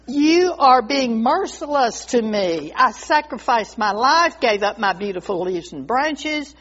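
A woman's voice: mid-range voice, husky, in a large hall. A woman voicing a proud, devoted bamboo tree in a storybook tale, speaking in an earnest, dramatic way. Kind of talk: storybook character voice. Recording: dull lo-fi recording.

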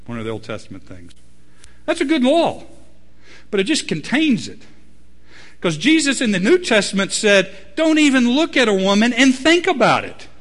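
A man preaching a sermon, swinging between loud emphatic peaks and low quiet asides. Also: wildly swooping pitch, normal speed. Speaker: pastor.

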